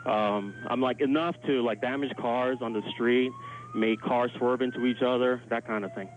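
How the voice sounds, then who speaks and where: A man's voice: medium pitch, fairly even intonation, moderate pace. Informal man on a noisy phone line. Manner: informal, loose phrasing full of 'like'. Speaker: hotel worker phoning in as an eyewitness. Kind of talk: live eyewitness phone account. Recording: dull noisy phone line, sirens behind. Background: alarms and emergency sirens.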